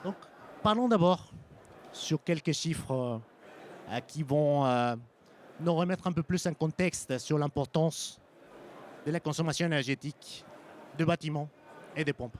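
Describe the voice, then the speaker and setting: Guy with a mexican spanish accent giving a conference talk, lots of ums and restarts, slightly high voice, faint noise behind. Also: measured pace, long pauses. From French-Mexican. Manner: hesitant, frequent ums and restarts. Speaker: sales engineer. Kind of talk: conference presentation. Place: conference hall.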